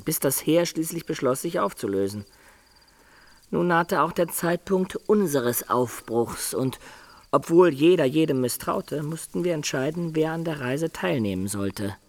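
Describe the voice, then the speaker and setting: An actor playing a wry medieval adventurer who recounts his quest in a radio drama, in an ironic, expressive storytelling manner. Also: on the high side, moderate pace, no room sound. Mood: wry narrating. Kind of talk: German radio drama narration.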